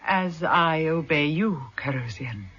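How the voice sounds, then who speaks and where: Slow, sultry villainess. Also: female voice, sits low, expressive, a bit bright, breathy edge, exaggerated voice. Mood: submissive, devoted. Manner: cooing, teasing, languid. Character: sultry, cruel, devoted villainess, playful and obedient. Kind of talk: vintage radio drama acting. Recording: dull, muffled vintage radio recording.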